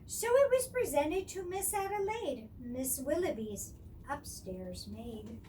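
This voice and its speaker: A woman's voice: high-pitched, lively intonation, slow, dark tone. A woman reading a rhyming picture book aloud expressively and theatrically, with chatty asides. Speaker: storyteller. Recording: distant microphone with clear background noise.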